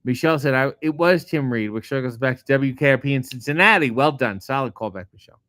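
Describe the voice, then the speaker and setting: Middle-aged guy riffing on viewer comments, swears a lot, deadpan, dry close sound. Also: mid-range voice, fast, no room sound. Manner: profane, sardonic, deadpan asides. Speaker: comedian host reading viewer comments. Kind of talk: casual chat answering live chat questions.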